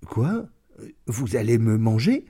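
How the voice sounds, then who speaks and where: A man voicing a meek, nervous male wolf who speaks in a hushed, deferential way. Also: mid-range voice, very animated, slow, breathy edge, heavily acted. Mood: alarmed.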